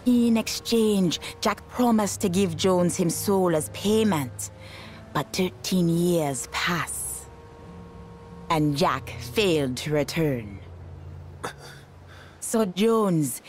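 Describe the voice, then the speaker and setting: Knowing pirate sorceress, Caribbean accent, low-pitched, very slow, ominous, storytelling. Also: female voice. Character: mysterious, knowing pirate sorceress telling sea legends. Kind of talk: video game voice acting.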